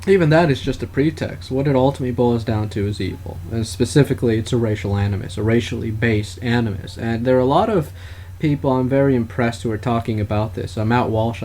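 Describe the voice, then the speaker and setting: A man speaking as a podcast host in an opinionated political discussion, with halting, wordy, formal phrasing. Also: fairly low pitch, a little mellow. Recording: clearly noisy background.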